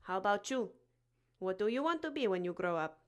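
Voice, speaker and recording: A woman playing a cheeky, matter-of-fact schoolboy with a deadpan manner in a comic audio drama. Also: mid-range voice, fairly quick, lightly acted. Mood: deadpan, chatty. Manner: deadpan, nonchalant.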